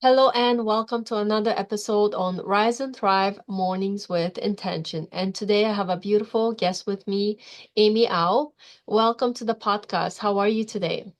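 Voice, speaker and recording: A woman's voice: mid-range voice, steady tone, moderate pace. Woman hosting an interview podcast. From Europe. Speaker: podcast host.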